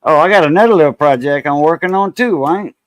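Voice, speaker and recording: Man nearly sixty, relaxed live-stream chat, slightly high voice, frequent fillers, dry sound. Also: quick pace, dry recording. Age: 50s. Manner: laid-back, laughs easily, many fillers. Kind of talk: casual chat.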